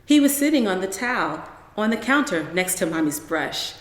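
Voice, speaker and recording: A woman reading a children's story aloud as narrator, in an expressive style. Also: mid-range voice, moderate pace. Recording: roomy echo with long reverb.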